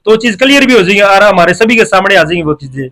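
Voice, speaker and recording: A man's voice: high voice, animated, fast talker, bright, forceful tone. A man, a video reporter who questions callers on the phone and keeps repeating affirmations. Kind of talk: phone interview.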